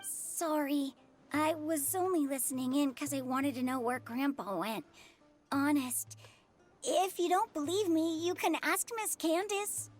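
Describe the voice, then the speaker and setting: An earnest little boy from an anime game. The voice is very high and childlike. He speaks haltingly and sincerely, like a village kid. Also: faint music behind. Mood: defensive. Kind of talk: English anime game dub.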